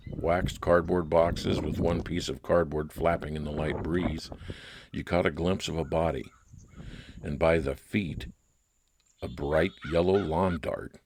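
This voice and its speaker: A man's voice: a bass voice, darkish tone, a little hiss or hum. A man narrating a scripted first-person detective story in old-time radio style.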